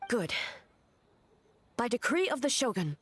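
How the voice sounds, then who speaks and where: A woman's voice: a little high, very animated, a little slow, a bit bright, slightly rough, full character voice. A woman playing a dignified, resolute commander in a video game, speaking formally and with authority. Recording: music behind the voice.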